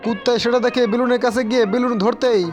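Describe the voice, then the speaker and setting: A man narrates a comic cartoon recap at a fast clip. His storytelling is colloquial and joking, with music clearly running behind him. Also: extremely high pitch, level tone.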